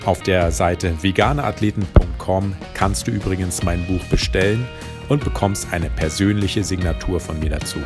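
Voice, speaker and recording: A man hosting a podcast, reading a calm scripted intro and then asking interview questions. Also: low voice, normal speed, over music.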